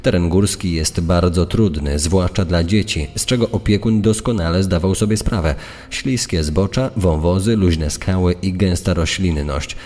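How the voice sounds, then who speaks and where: A man's voice: low-pitched, moderate pace, darkish tone. A man reading scripted passages for a true-crime podcast. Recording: long hall reverb.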